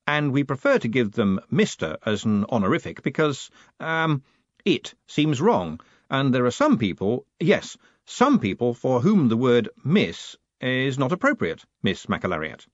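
A man voicing a flustered, nervous young postmaster who talks meekly with a jumpy, raised pitch. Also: big pitch swings, a little slow, no room sound, dull audio. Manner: flustered, meek, pitch jumping high.

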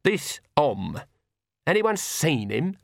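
A man's voice: on the high side, big pitch swings, slow, vocal fry, dry recording, clean studio sound, voice pushed up, full character voice. A man voicing a fierce, stubborn old country witch woman, blunt and folksy with an emphatic, scolding manner, in an audiobook. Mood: needling.

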